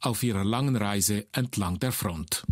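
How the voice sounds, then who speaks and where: A man's voice: fairly low pitch, unhurried. A man narrating an audio report in a scripted documentary style.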